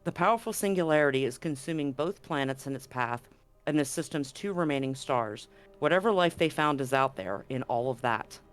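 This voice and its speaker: A man's voice: medium pitch, animated, a little fast, a bit bright, clear, resonant tone, soft background music. A man acting as game master, hosting and narrating a tabletop roleplaying game stream.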